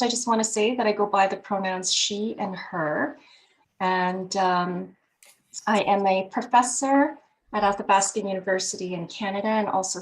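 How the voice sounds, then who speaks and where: A woman's voice: medium pitch, rough recording. Welcoming woman professor on a video call. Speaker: professor and psychologist. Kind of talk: virtual presentation, introductions.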